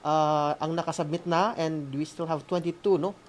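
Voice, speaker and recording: Filipino accent, school-on-the-air host, medium pitch, halting announcements, frequent "no?" tags. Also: fairly even intonation. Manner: halting, often adds "no?" as a tag. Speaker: radio school program host. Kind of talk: semi-scripted announcements to students on air.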